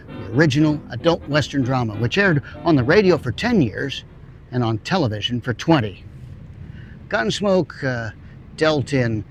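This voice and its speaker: A man presenting a scripted, folksy introduction as the host of an audio drama show. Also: mid-range voice.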